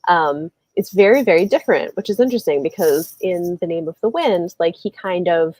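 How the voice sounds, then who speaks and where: A woman with a slightly low voice hosts a relaxed book discussion. She thinks aloud in loose, rambling sentences full of fillers. The sound is very dry, with no room echo. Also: a little fast. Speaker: live show host. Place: indoors, livestream video call.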